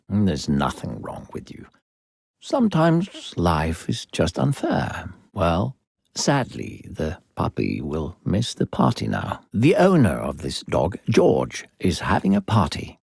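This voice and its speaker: A male voice actor playing a smooth-talking, secretly sinister video game narrator, theatrical, coaxing and condescending toward a child. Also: low voice, no echo, studio quality, heavily acted.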